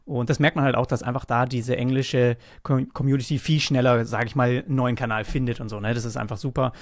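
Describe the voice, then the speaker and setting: Gravelly, enthusiastic German-speaking host. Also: male voice, medium pitch, steady tone, quick pace, no echo, clean studio sound. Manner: enthusiastic, rambling, many fillers. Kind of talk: casual interview chat.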